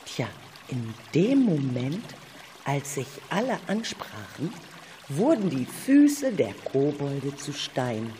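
A woman narrating a children's radio play in a dramatic, deliberate storytelling manner, with a reverberant sound. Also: sits low, very animated, warm tone, a little hiss or hum.

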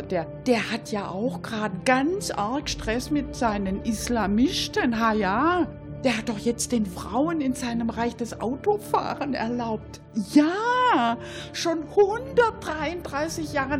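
A woman playing a gossipy, opinionated Swabian cleaning lady in a comic radio monologue, folksy and mock-naive. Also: mid-range voice. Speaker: cleaning lady character in a satirical radio show.

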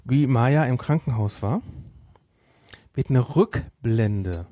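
A man's voice: medium pitch, lively intonation, slow, warm tone, no echo. A man hosting a casual podcast discussion. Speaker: podcast host.

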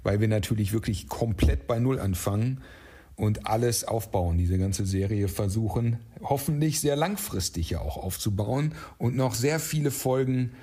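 A man with a slightly husky voice giving explanatory answers as an interview guest. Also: on the low side, animated, normal speed, dark tone. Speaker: interview guest, audio drama writer.

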